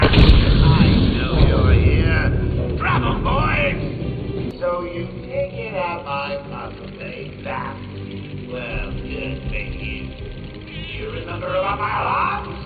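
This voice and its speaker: A man voicing a gleeful, sadistic clown villain in a video game, mocking and theatrical with sudden leaps in pitch and wild cackles. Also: far from the mic, exaggerated voice. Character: gleeful, sadistic male clown villain taunting his enemy. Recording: tinny, narrow-band game audio with echo. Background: loud game music.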